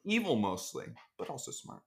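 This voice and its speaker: A man as a podcast guest in casual chat, rambling with dark deadpan jokes over a video call. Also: mid-range voice, normal speed, gravelly.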